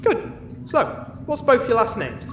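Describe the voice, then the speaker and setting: Flustered high-pitched jailer acted by a man. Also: wildly swooping pitch, measured pace, a bit bright, far from the mic, exaggerated voice. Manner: hesitant, fussy, sweary. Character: exasperated, officious jailer trying to keep order. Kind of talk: comedic fantasy audio drama. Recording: roomy echo, off-mic, faint background noise.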